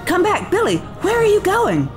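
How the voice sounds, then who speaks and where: A woman acting as a concerned, probing school staff member in an audio drama, with a measured, coaxing manner. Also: very high voice, huge pitch swings, music in the background, full character voice. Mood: alarmed.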